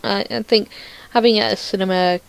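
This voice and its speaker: A woman's voice: mid-range voice, steady tone, fairly quick, gravelly, clear, resonant tone. A woman giving casual screen demo commentary as a creator showing her own project, rambling and thinking aloud with some self-deprecation.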